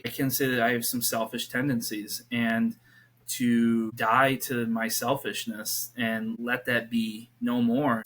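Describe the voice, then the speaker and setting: A man's voice: medium pitch, a bit bright, poor audio. A man in his thirties, a youth pastor, talking earnestly as a podcast guest and rambling with many restarts. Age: 30s. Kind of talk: casual faith conversation.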